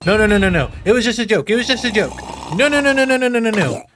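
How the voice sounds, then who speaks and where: A man voicing a cheeky, excitable puppet character in a comic puppet-show skit. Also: high voice, quick pace, slight background noise, pitched above the performer's own voice. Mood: panicked pleading.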